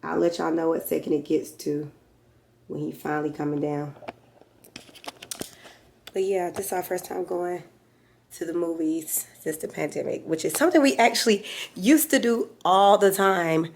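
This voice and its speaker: Hoarse chatty woman, low voice. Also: normal speed, a little hiss or hum. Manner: chatty and teasing. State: hoarse from a lingering sore voice. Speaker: vlogger. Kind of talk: casual vlog chat to camera.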